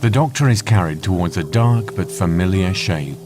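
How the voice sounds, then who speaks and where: A man's voice: low-pitched, expressive, normal speed, over music. A young man reading dramatic scripted story narration for a comic dub. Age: young adult.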